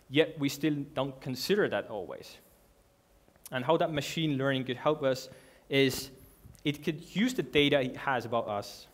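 Guy doing a conference talk in a big dark hall, earnest and kinda halting, lots of restarts. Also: mid-range voice. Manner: earnest, halting, with restarts and non-native grammar. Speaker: conference speaker. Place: large dark hall with a seated audience.

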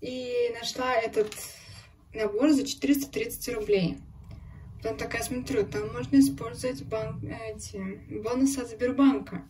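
A slightly hoarse woman chatting casually about her needlework at home, sometimes dropping to a whisper. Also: on the high side, fairly even intonation, normal speed. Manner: chatty, drops into whispers, laughs softly. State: a bit hoarse. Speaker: cross-stitch vlogger. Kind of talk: casual chat showing needlework. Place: home, at a table. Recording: echoey room, mic at a distance, noisy background.

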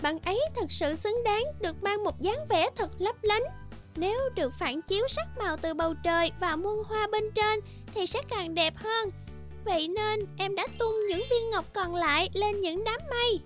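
A woman voicing a kind, humble little flower in an animated fairy tale, childlike, polite and earnest. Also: very high-pitched, moderate pace, soft background music. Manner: polite, earnest, wide-eyed.

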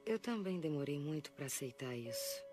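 Animated film: cool, sympathetic racer woman, laid-back, expressive pitch. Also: moderate pace. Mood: calm, understanding. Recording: loud film music behind the voice.

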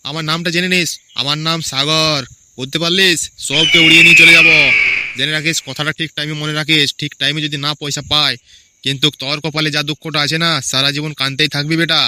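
A man's voice: on the high side, flat delivery, quick pace, pushed and bright, dry recording. A man voicing a bullying, crooked village chief and moneylender in a cartoon, crude and threatening. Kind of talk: Bengali cartoon voice acting.

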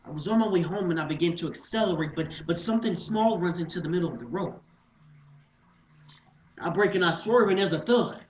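A man performing a dramatic monologue as a guilt-haunted man in his thirties, halting and swinging between hushed and loud delivery. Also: high voice, expressive, pitched above the performer's own voice. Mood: tense recollection. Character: guilt-haunted thirty-two-year-old man confessing to killing his sister. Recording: echoey room with faint noise.

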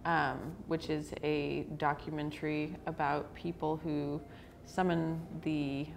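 A woman's voice: sits low, little pitch movement, slow, vocal fry, slight background noise. An American woman, around forty, chatting casually as a co-host on a panel show. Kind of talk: casual chat. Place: indoor cafe at a table.

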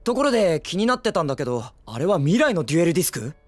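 Anime boy hero: excitable, brash teen, very lively melodic swings, eager exclamations, faint music behind. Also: on the high side, rapid-fire. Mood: curious, friendly. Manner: cocky, eager, exclamatory, boyish speech. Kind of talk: Japanese anime film acting.

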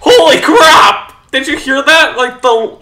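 A young man giving casual reaction commentary, excitable, exclaiming and joking. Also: extremely high pitch, slow pace. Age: young adult. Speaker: reaction video host. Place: small room indoors. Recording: very noisy, echoey, distant mic.

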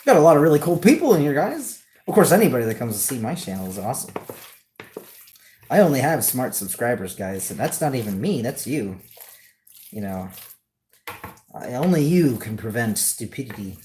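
A man chats casually while hosting a cooking livestream in a home kitchen. His delivery is off-the-cuff and jokey, with pitch that jumps around a lot. He is picked up by a camera on the counter, with some noise and clicking behind him. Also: big pitch swings, normal speed, pauses often, darkish tone. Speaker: cooking show host. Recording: clearly noisy home kitchen livestream audio.

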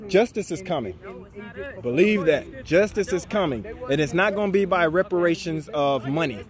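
A man's voice: fairly high pitch, animated, normal speed. A man interviewing at an outdoor street protest, lecturing emphatically about scripture with rhetorical questions. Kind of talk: street debate, scripture preaching. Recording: noisy protest crowd behind.

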